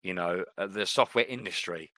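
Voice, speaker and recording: A man's voice: low-pitched, moderate pace, pushed and bright, no room sound. A man co-hosting a podcast, chatting casually about films and TV. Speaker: podcast co-host.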